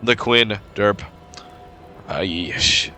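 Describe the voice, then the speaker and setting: Bright-voiced man with a stuffy cold. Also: fairly low pitch, very slow, faint music behind. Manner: relaxed play-by-play with frequent fillers. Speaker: game commentator. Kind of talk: casual gameplay commentary.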